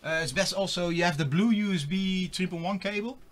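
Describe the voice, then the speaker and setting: A man's voice: high voice, brisk, a little hiss or hum. A middle-aged man with a Dutch accent, chatting casually and rambling with many fillers while building a computer. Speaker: host.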